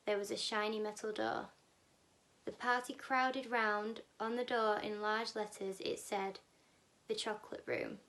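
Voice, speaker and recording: A woman's voice: mid-range voice, steady tone, a little slow, fairly bright tone, slight background noise. A woman reading a children's novel aloud as scripted narration. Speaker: storybook reader, teaching assistant.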